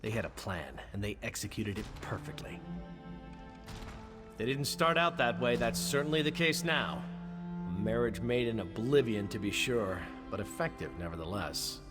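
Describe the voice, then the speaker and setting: Guy voicing a cocky young captain in a fantasy game, wry swagger, echoes like a hall, soft music under. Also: lowish voice, lively intonation, normal speed, natural voice. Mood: grim. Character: cocky, roguish young male guard captain. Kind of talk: fantasy video game acting.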